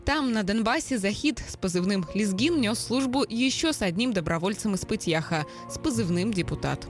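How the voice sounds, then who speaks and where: A woman reporter reading scripted news narration in a measured, solemn voice-over. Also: mid-range voice, animated, soft background music.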